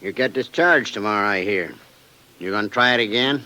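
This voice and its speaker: An actor playing a weary, dying old space veteran with folksy, rough-hewn speech, in 1950s radio drama style. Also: male voice, fairly low pitch, brisk, bright, forceful tone, poor audio. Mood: wry, probing.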